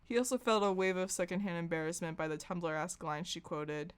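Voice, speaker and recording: A woman's voice: on the low side, fairly even intonation, brisk, smooth. A woman podcast host giving a comedic, mock-serious dramatic reading of fan fiction, often sounding amused.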